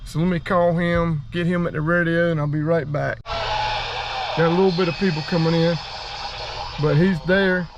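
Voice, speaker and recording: A man's voice: a little high, little pitch movement, moderate pace, long pauses, dull audio, background noise. An American man giving a casual hands-on demo, talking straight to viewers in a folksy way. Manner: folksy, addresses viewers directly. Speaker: outdoors channel host and radio operator. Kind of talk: casual hands-on demonstration vlog.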